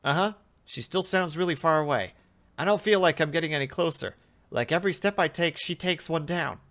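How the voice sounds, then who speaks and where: A man's voice: a little high, a little fast, fairly bright tone, pitched above the performer's own voice. A man voicing a frightened, disgusted young woman test subject in a horror story, whiny, exclamatory and informal. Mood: uneasy. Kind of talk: horror fiction audio reading.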